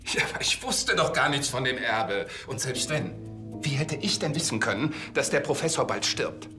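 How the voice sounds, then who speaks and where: An actor playing an anxious, defensive suspect in a TV crime series. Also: male voice, medium pitch, expressive, moderate pace, over music, close to an everyday voice. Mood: indignant. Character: defensive, anxious male church musician suspect. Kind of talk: TV crime drama acting.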